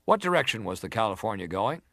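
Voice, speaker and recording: A man acting as a senator questioning a witness at an inquiry, formal, deliberate and probing, in a dramatized reading of testimony. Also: fairly low pitch, sing-song, gravelly, bright, forceful tone, no echo, clean studio sound, exaggerated voice.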